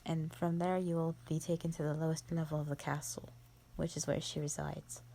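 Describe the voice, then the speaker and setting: A woman voicing a bored, contemptuous female vampire in an audio roleplay, with a breathy, creaky voice and a disdainful, formal drawl. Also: low voice, warm tone, slight background noise, rough recording. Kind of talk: scripted roleplay monologue for the listener.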